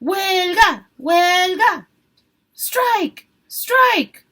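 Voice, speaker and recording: Storybook role: angry young woman agitator, performed by a female reader, high, forceful, defiant shouted speeches. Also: big pitch swings, extremely slow, slight background noise, exaggerated voice. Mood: chanting, rousing.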